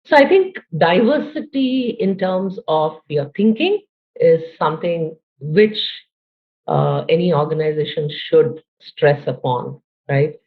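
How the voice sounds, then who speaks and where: A woman's voice: deep voice, expressive, slow. A woman in her late forties from India with an Indian accent, speaking thoughtfully as a podcast guest, with frequent "you know" fillers. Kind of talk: conversational interview. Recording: tinny phone-like line.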